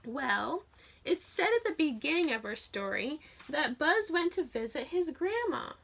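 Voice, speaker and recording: A woman's voice: high voice, big pitch swings, measured pace, gravelly, fairly bright tone. A woman teaching young children through a read-aloud, telling the story expressively and putting simple, encouraging questions to her listeners.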